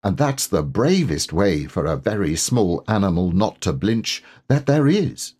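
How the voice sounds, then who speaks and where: Man as a gentle shy storybook bear, slow, thoughtful, earnest, rising lilt, slightly husky. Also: deep voice, very animated, exaggerated voice. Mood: kindly, reassuring.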